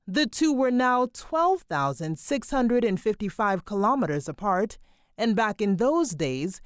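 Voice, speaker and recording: Lively woman narrator, dry studio sound. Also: medium pitch, dry recording. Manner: measured storytelling delivery. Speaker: documentary narrator. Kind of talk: scripted narration.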